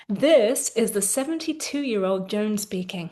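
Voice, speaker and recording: A woman therapist guiding a tapping exercise, explaining gently with frequent fillers, then leading phrases to repeat. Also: medium pitch, animated, a little slow. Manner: gentle, instructive, many fillers. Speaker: therapist and coach. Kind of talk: guided tapping session.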